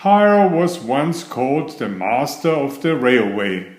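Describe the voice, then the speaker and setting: A man reading a children's bedtime story aloud in a deliberate, expressive storytelling manner. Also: mid-range voice. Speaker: storybook reader. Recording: roomy, echoey sound.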